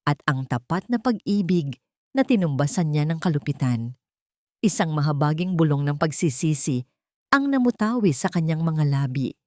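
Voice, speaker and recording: A woman narrating a scripted drama story in a measured, solemn way. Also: low voice, darkish tone, dry recording, clean studio sound.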